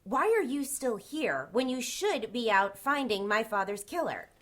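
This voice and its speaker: A woman playing a prickly, defiant witch in her twenties in an audio drama, curt, sarcastic and measured. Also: on the high side, sing-song, fairly bright tone. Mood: angry, defiant. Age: 20s.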